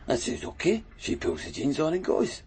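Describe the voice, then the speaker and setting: An actor playing a chatty, wistful Glasgow tattooist in a radio crime drama, rambling colloquially with a Scottish accent. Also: male voice, mid-range voice, huge pitch swings, normal speed, darkish tone, slight background noise. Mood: frank, unhurried. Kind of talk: naturalistic radio drama acting.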